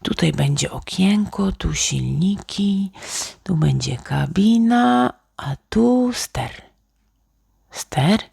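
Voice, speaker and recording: A woman voicing a dreamy, excited little boy inventor in a children's bedtime story, musing aloud eagerly. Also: mid-range voice, slow, creaky, husky, no room sound, studio quality, voice pushed up, heavily acted.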